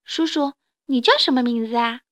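A woman's voice: high voice, very animated, normal speed, a bit bright. A woman voicing a sweet, tearful, grateful baby Spinosaurus boy in a storybook reading, childlike and pleading. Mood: curious.

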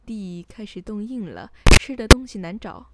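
A woman's voice: mid-range voice, expressive, moderate pace, very noisy. A woman radio host reading a scripted bedtime story in a soothing narration style.

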